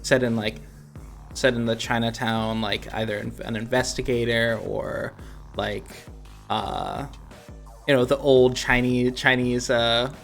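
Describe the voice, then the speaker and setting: A man's voice: medium pitch, fairly even intonation, unhurried, vocal fry, fairly bright tone, faint music behind. A man hosting a podcast, chatting casually about games and thinking aloud in a rambling way. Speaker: podcast host.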